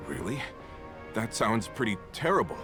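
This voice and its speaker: A man's voice: on the low side, very animated, normal speed. A man voicing a weary working-class father in a video game, resigned and plain-spoken. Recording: game audio with faint music.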